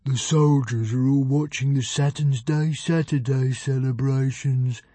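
A man voicing a sad, weary male dragon stuck in a cage, talking slowly and gloomily. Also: medium pitch, steady tone, dark tone, no echo, lightly acted. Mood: glum. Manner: slow, gloomy, resigned.

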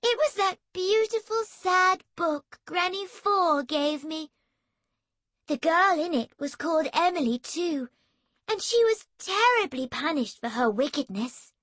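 Pious, smug young girl cooing high and slow, read by a woman. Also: lively intonation, fairly bright tone, dry recording, full character voice. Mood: sweet. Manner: sweet, cooing, drawn out.

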